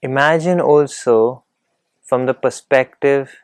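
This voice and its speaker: A man leading a guided meditation in a calm, gentle, unhurried manner, leaving long pauses between phrases. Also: medium pitch, clear, resonant tone, no room sound.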